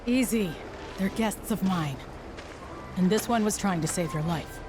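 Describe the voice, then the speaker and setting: A woman's voice: mid-range voice, unhurried, music in the background, lightly acted. An actress playing a guarded but hospitable small-town woman in a video game, speaking in a frank, firm way. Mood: calming. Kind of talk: video game cutscene voice acting.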